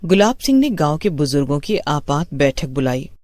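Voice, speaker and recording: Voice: mid-range voice, sing-song, normal speed, darkish tone, no room sound. A narrator reading a scripted horror story.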